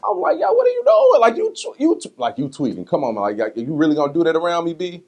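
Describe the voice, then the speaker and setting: A man's voice: a little high, quick pace. A man talking casually and candidly as an interview guest, in slangy conversational speech, acting out what people said as he tells stories. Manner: slangy, conversational, animated retelling with acted-out quotes. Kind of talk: casual candid interview talk answering personal questions.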